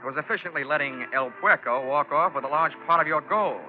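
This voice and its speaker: An actor playing a wry, wisecracking private investigator in a 1940s radio drama, with a dry, sardonic American delivery. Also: male voice, fairly high pitch, fast, faint music behind, close to an everyday voice. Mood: wry narration. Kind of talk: 1940s radio acting and narration. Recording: dull vintage radio recording.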